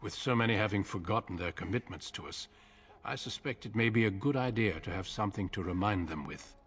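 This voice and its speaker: An actor playing a grave, authoritative male commander in a video game, speaking in a measured, formal way. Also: on the low side, slight background noise, lightly acted. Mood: grave, instructing.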